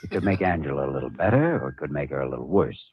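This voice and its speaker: Deep-voiced radio-drama doctor, vintage muffled sound. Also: male voice, animated, very fast, noisy background. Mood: grave, firm. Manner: firm, clinical, persuasive. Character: earnest, assured male hospital doctor. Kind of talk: 1950s radio drama acting. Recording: old 1950s radio broadcast, muffled narrow band.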